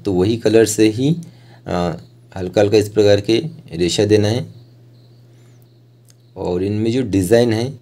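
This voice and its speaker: A man with an Indian accent narrating a step-by-step art tutorial informally, in short phrases with hesitant pauses. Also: fairly low pitch, dark tone.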